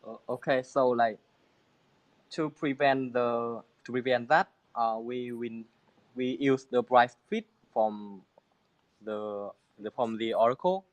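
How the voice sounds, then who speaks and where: Slow-speaking man, halting English. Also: medium pitch, steady tone, dry recording, muffled recording. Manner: halting non-native English with many restarts. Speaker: crypto project team member. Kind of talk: answering AMA questions.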